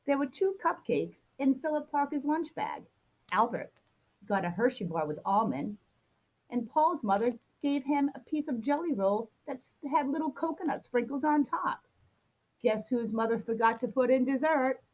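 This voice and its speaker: High-voiced woman reading a children's book. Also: normal speed, low-quality recording. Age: adult. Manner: expressive, acts out the child's complaints. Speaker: storybook reader.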